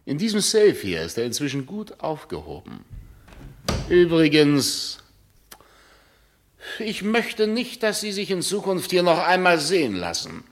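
A haughty, wealthy gentleman in a radio crime play. He is sardonic and condescending, sets his phrases down deliberately, and his pitch swings widely. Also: huge pitch swings. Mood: cold. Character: haughty, suspicious rich English husband, a titled gentleman. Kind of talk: German radio crime drama acting.

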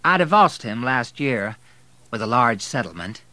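A man's voice: medium pitch, big pitch swings, unhurried, sharp tone, no echo, pitched above the performer's own voice. A man voicing a poised, teasing Southern woman who is a wealthy divorcee. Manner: arch, teasing.

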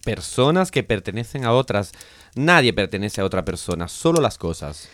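A man's voice: fairly low pitch, very animated, moderate pace, fairly bright tone. A man playing a naive sixteen-year-old boy sailor in an audio drama, with a plain, questioning way of speaking. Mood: wary. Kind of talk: dramatised audio story dialogue.

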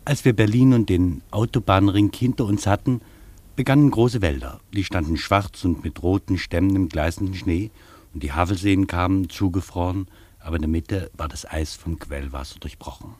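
Male radio-play narrator, reflective travel writer, lowish husky voice, dry close studio sound. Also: normal speed, a little mellow, dry recording. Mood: pensive. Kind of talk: literary first-person radio narration.

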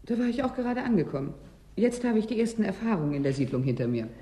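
An actress in a German radio play as a direct, questioning newcomer who grows impatient with officials. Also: female voice, medium pitch, sing-song, fast, dark tone, natural voice. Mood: businesslike, puzzled. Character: blunt, insistent female newcomer to an icebound settlement.

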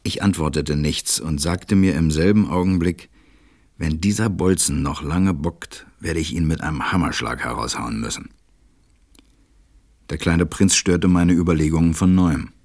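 Deep-voiced, reflective stranded pilot. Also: male voice, a little mellow, no echo, studio quality, exaggerated voice. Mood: reflective, worried. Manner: measured, reflective storytelling. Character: grown male stranded pilot, reflective, preoccupied narrator. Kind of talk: German radio play narration.

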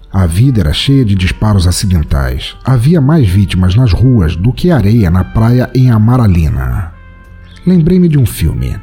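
A man with a Brazilian accent, hosting and narrating a scripted podcast audio drama in a theatrical, deliberate way. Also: fairly low pitch, husky. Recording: soft music behind.

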